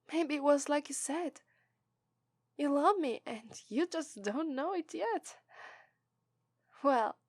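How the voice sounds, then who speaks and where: A cheerful young demon princess bride, voiced by a woman with an Italian accent and a high, sweet voice. She speaks slowly and fondly, breaking into giggles and flustered stammers. In an ASMR roleplay she sounds loving toward her partner. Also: dry recording, studio quality. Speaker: voice actress.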